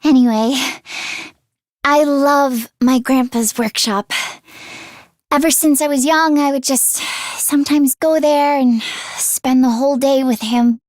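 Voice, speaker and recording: A woman voicing an earnest, anxious teenage girl mechanic in an anime-style video game, expressive and shifting between timid and sassy. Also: sits high, measured pace, long pauses, dry recording, studio quality. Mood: soft, affectionate. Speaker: voice actress.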